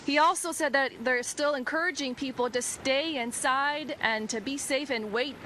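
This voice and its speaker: A woman's voice: high voice, normal speed, pushed and bright. A woman TV news reporter giving an informal, ad-libbed live weather report from outdoors. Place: outdoors by the shore. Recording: faint outdoor storm-day noise.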